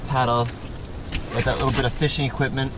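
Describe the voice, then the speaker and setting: Man talking plainly over loud water noise. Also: flat delivery, fast talker. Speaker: amateur actor in a homemade survival dramatization. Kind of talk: improvised survival role-play, plain conversational talk. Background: boat, water and vehicle rumble.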